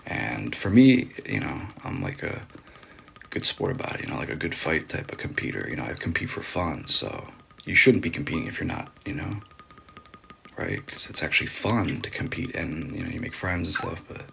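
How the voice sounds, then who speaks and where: A man's voice: sing-song, moderate pace, creaky, a bit bright, a little hiss or hum. A young man streaming live ASMR, chatting casually with viewers in laid-back slang and thanking donors, sometimes dropping to a whisper. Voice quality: describes his voice as medium deep, sometimes deeper.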